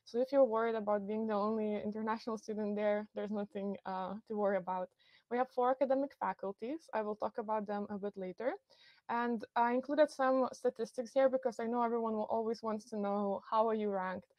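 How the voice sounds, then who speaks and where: A woman's voice: mid-range voice, steady tone, a little fast, a little mellow, dry recording, lo-fi sound. A young woman from Lithuania with a Lithuanian accent, giving a university recruitment webinar in a friendly, informative way with many fillers. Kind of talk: webinar presentation. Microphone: computer mic on a video call.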